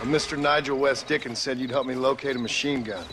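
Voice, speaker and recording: An actor playing a stern, sardonic gunslinger in a western game, dry in manner. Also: male voice, medium pitch, fairly even intonation, fairly quick, music in the background, natural voice. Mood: firm warning. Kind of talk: animated western game acting.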